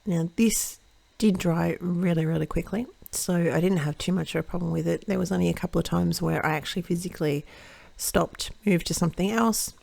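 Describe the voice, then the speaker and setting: A woman hosting a watercolour card-making tutorial, chatting through each step as she paints and often correcting herself mid-sentence, her voice a little croaky. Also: lowish voice, a little fast, few pauses, darkish tone, no room sound. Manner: chatty, self-correcting, thinks aloud while painting. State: slightly croaky voice. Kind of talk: casual step-by-step craft demonstration.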